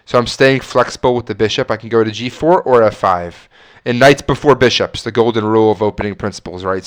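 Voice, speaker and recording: A man's voice: fairly low pitch, clear, resonant tone. Stuffy-nosed man musing over chess moves. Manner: musing, self-correcting, talks through moves. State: stuffy nose, slight cough. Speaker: chess streamer. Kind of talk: thinking aloud while playing chess.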